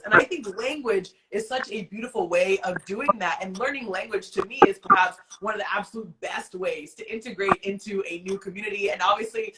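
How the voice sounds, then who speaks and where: An American woman hosts an interview with a bright, crisp tone and a middle pitch. She speaks earnestly and with enthusiasm, repeating a word here and there as she builds her thought. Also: a little hiss or hum, poor audio. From United States. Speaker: interview host. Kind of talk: interview conversation.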